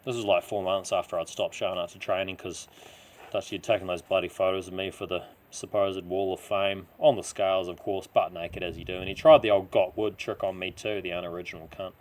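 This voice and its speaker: A man voicing a rough-living taxi driver with a dark past, rambling confidingly and insistently in slangy New Zealand speech. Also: low voice, flat delivery, brisk, close to an everyday voice. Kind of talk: character dialogue in audio story.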